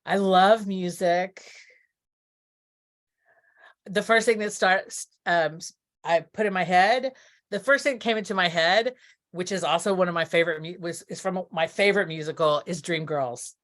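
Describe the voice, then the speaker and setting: Interview guest: middle-aged woman from Texas, slightly low register, casual, hesitant, thinks aloud. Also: normal speed. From Texas, United States. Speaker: interview guest, theatre producer.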